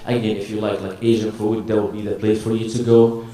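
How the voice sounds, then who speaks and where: A man's voice: fairly low pitch, flat delivery, a little fast, mellow. A man from Egypt with an Egyptian accent gives a casual, humorous presentation to an audience, telling stories with acted-out dialogue. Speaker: university staff presenter. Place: large echoey room before an audience. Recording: very echoey hall, distant microphone.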